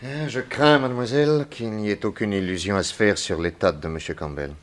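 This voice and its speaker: Deep male voice, an actor playing it: composed private detective, courteous, methodical and authoritative, 1960s radio drama. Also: fairly quick, slight background noise, close to an everyday voice. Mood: grave, probing.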